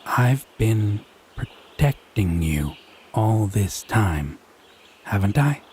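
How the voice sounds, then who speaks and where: A man playing a haughty, teasing, possessive fae in a scripted audio roleplay, speaking in wry, theatrical, deliberate phrases. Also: on the low side, sing-song, darkish tone, a little hiss or hum, heavily acted. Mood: softer, earnest.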